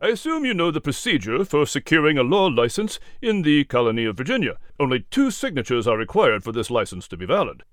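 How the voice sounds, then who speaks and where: An actor playing a stern, skeptical colonial gentleman with a formal, probing manner in an audiobook. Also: mid-range voice, very animated, fast, few pauses, no echo, studio quality, faint music behind, lightly acted. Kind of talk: audiobook character acting.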